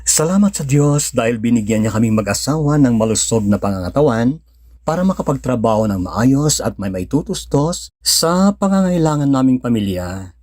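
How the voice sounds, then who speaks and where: An actor playing a devout, tender young husband and father in a sentimental radio drama, speaking gently and sincerely with a Filipino accent. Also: mid-range voice, expressive, normal speed, no room sound, clean studio sound, natural voice. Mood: grateful, respectful. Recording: music bed under the voice.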